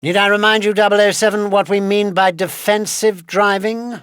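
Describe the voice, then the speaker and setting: Fussy high-voiced British gadget quartermaster. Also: male voice, steady tone, moderate pace, fairly bright tone, clear, resonant tone, dry recording, clean studio sound. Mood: haughty briefing. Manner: pompous, clipped, sardonic nagging. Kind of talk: scripted video game mission briefing.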